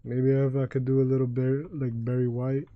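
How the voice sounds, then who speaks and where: A young man with a deep voice chatting loosely and profanely while streaming a game and taking chat requests. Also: completely flat delivery, normal speed, dry recording, lo-fi sound. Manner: loose, profane, joking, sings badly on request. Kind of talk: casual gaming livestream chat.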